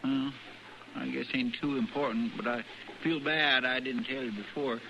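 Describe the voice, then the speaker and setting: An American actor playing a fretful, deferential deputy with a folksy rural drawl, hesitant and rambling, in a radio western. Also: male voice, medium pitch, fairly even intonation, moderate pace, creaky, pushed and bright. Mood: sheepish, fretful. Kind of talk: 1950s radio western acting. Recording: muffled, noisy old radio recording.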